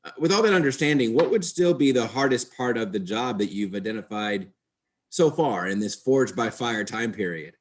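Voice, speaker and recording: A man's voice: medium pitch, animated, moderate pace. A man interviewing a guest conversationally, rephrasing his questions as he rambles along. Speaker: podcast host, interviewer.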